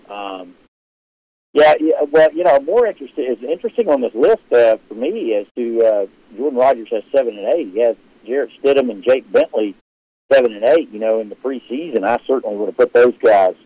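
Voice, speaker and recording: A man with a very low, loud voice chats casually on a live sports stream, rambling and restarting his sentences. The audio is dull and lo-fi, and it is close and dry with no room sound. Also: dry recording. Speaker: sports show host answering viewer questions. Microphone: live stream setup.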